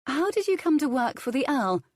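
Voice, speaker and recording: A woman voicing a proud, sharp-tongued young noblewoman with crisp, dry retorts in an audiobook. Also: sits high, sing-song, a little fast, no echo, voice pushed up. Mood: curious.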